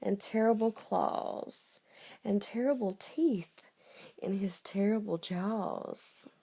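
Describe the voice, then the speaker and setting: A woman's voice: medium pitch, slow pace, creaky, mellow, breathy edge, exaggerated voice. A woman voicing a polite, clever little brown mouse in a bedtime story, courteous and matter-of-fact.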